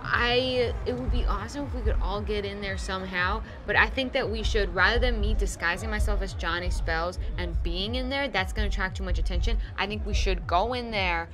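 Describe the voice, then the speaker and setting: A woman's voice: medium pitch, fairly quick, hardly any pauses, music in the background. A young woman chatting casually as she improvises as a player in a tabletop game. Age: young adult. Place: indoor studio set at a game table.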